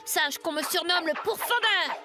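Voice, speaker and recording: Little would-be knight, cartoon: squeaky-high, boastful yet timid, hesitant, over music. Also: female voice, moderate pace. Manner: bragging, hesitant, bumbling. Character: boastful but cowardly little boy playing knight. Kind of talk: French cartoon dubbing.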